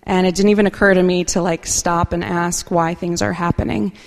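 A woman speaking softly and unhurriedly at a recovery meeting, sharing her own experience with many fillers. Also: fairly low pitch, level tone, a little fast, gravelly, long reverb tail. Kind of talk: personal share at a meeting.

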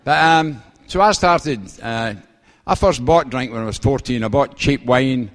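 An older man with a Glasgow-area Scottish accent giving a personal testimony to a gathering, halting and plain-spoken with dry humour, speaking into an event microphone. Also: medium pitch. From Scotland. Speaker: guest main speaker.

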